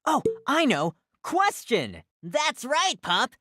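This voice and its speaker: A woman's voice: sits high, huge pitch swings, slow pace, fairly bright tone, no echo, clean studio sound, heavily acted. A woman voicing a friendly teacher in a scripted educational cartoon for children, with a sing-song, instructive delivery. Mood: cheerful, instructive.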